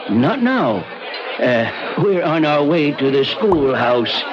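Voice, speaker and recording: Folksy, lilting old-timer. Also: male voice, fairly high pitch, very animated. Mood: genial, coaxing. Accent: American. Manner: folksy, unhurried, courteous. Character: genial, patient old-timer guiding two frightened women. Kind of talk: mid-century American radio drama acting. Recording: muffled old radio recording, crowd murmur.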